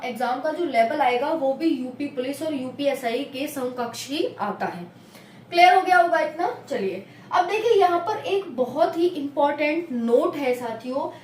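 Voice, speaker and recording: Female coaching teacher, high voice, mic set back from her, explains step by step. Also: a little hiss or hum. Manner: repeats checks like "clear?" and addresses viewers as companions. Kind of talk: explaining exam rules at a whiteboard. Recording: echoey room, recorded from a distance.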